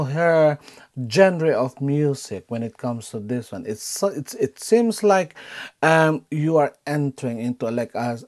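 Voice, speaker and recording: A man in his thirties with a Filipino accent hosts a casual reaction vlog from his bedroom, gushing with frequent ums and exclamations. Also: mid-range voice. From Philippines. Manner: excitable, gushing, frequent "um" and "oh my God". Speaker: reaction video host. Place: bedroom at home.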